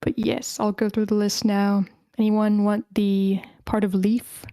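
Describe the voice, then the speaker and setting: A woman's voice: mid-range voice, flat delivery, unhurried, warm tone, smooth, no room sound, clean studio sound. A woman casually hosting an online event, chatty and informal, often thinking aloud. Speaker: host of an online script table read.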